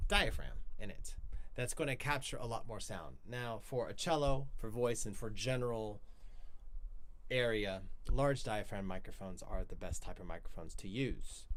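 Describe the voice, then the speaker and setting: A man with a baritone voice hosting a casual livestream lesson, chatting while he demonstrates microphones and moves closer to and away from them. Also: slight background noise. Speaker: cello teacher hosting a live stream. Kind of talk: casual livestream demo talk. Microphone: switches between several desk microphones.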